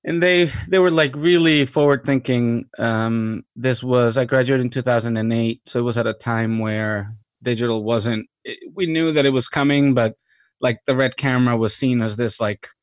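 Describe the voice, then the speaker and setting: A man from Mexico speaks in an unhurried, conversational way, pausing with fillers and circling back mid-sentence. His voice sits at an ordinary middle pitch and is recorded close and dry, with no room sound. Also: no echo. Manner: thoughtful, many fillers and restarts, enthusiastic. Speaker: cinematographer guest. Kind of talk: relaxed interview conversation.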